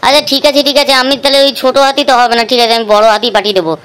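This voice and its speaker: Woman doing a cheeky high-voiced young prankster lad. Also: rapid-fire, a bit bright, smooth. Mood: mock innocent. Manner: slangy, bantering. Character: cheeky young male village prankster, teasing and scheming. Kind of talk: comedy cartoon voice acting.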